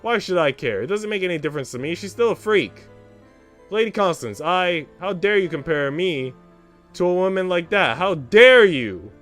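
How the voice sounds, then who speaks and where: A man's voice: high voice, animated, normal speed, a bit bright, soft background music, pitched above the performer's own voice. A man voicing a haughty, petulant young noblewoman from a visual novel, snapping indignantly. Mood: furious outrage.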